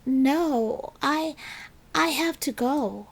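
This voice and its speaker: A woman's voice: high voice, extremely slow, creaky, voice pushed up, heavily acted, low-quality recording. A woman voicing a clumsy, anxious, apologetic schoolgirl with an earnest, self-doubting manner in a children's storybook. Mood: flustered. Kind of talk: children's storybook read-aloud.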